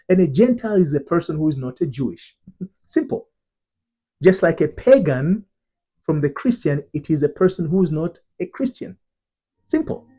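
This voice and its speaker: A man's voice: fairly high pitch, warm tone, slightly rough. A man from Tanzania, working as an identity transformation coach, gives an earnest teaching talk, stressing single words and often restarting and repeating himself.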